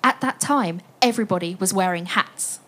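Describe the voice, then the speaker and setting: A woman's voice: medium pitch, moderate pace, a bit bright, close to an everyday voice. A woman playing an enthusiastic storyteller in a family audio play, inviting listeners into an adventure with a sense of wonder. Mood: excited wonder.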